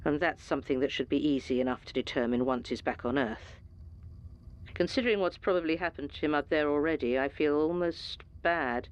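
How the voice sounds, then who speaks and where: A ruthless archivist played by an actor lays out plans in a horror audio drama. The voice is mid-pitched, with a dry, formal and deliberate delivery. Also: natural voice, rough recording. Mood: cold calculation.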